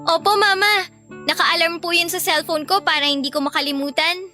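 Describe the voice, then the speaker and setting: Radio drama casting: a dutiful, chirpy Filipino kid sister, very high bright voice, faint music beneath. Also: female voice, steady tone, brisk. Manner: chirpy, childlike. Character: sweet, eager, dutiful younger sister, a student.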